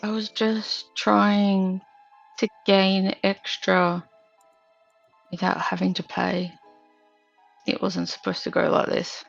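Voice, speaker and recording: A woman in a tabletop game talks defensively, stringing her points together with fillers. Her voice is full of heavy vocal fry, and the audio is dull and lo-fi. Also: mid-range voice, monotone, slow pace, long pauses, faint music behind. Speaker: player. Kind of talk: casual tabletop roleplay.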